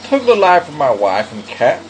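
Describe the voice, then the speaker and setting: Man performing old hermit, rambling, resigned, highish pitch, hesitant, drawn-out words, audio sketch. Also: wildly swooping pitch. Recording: clearly noisy background rumble.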